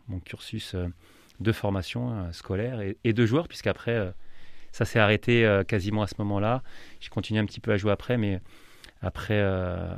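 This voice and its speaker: A man in his thirties with a deep, gravelly voice talks through his football career on a radio show. He pauses and restarts often, in a relaxed, unhurried way. The studio sound is dry and close. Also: dry recording. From Paris region, France. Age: 30s. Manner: relaxed, many hesitations and restarts. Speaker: football coach and club manager. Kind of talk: radio interview answers.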